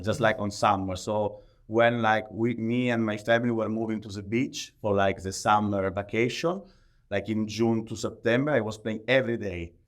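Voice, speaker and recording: Low-voiced Italian man, interview guest. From Italy. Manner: halting non-native English with frequent filler "like". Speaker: podcast guest, professional athlete. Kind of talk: conversational interview answers.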